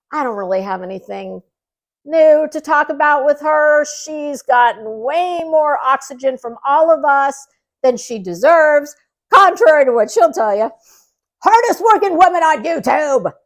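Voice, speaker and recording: A woman giving casual gossip commentary in a sarcastic, mocking way, as the host of her own online show. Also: sits high.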